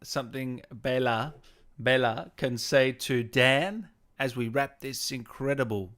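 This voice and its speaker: A man's voice: mid-range voice, slow pace, a bit bright. A man hosting a casual livestream interview, matey and encouraging with his guest and viewers.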